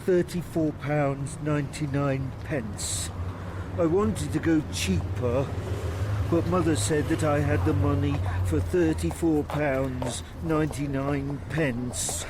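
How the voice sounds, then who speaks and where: Very slow, childlike middle-aged man. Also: a little high. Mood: earnest. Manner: literal, repetitive, flatly agreeable. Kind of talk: radio play dialogue. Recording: noisy outdoor scene with traffic.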